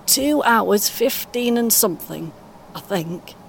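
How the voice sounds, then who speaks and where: A woman playing a kindly, encouraging British grandmother in her eighties in an audio play, speaking gently and reassuringly. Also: animated, slow. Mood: reminiscing. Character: kindly, encouraging 81-year-old grandmother on a coach. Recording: clear vehicle rumble noise. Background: vehicle ambience.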